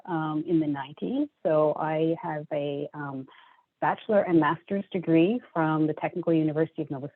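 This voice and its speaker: A middle-aged woman giving a measured, unhurried account of her engineering career as a panelist. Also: fairly low pitch, no room sound. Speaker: product manager, panelist. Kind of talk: self-introduction on a panel. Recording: dry, phone-like remote audio.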